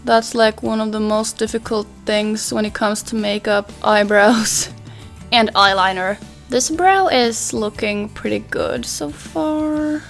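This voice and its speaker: Young swedish-speaking finnish girl doing a makeup tutorial, chatty and soft, bit of music in the back. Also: on the high side, fairly even intonation, takes it slowly, vocal fry. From Finland. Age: young adult. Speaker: beauty vlogger. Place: small pastel bedroom, indoors.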